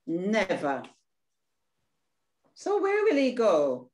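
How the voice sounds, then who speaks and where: A woman's voice: mid-range voice, huge pitch swings, extremely slow, a little mellow, exaggerated voice. An older woman with a Caribbean accent reading an indignant, tender-hearted younger sister in a play, over a video call. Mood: troubled, questioning. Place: indoor room at home, on video call. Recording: roomy video call sound.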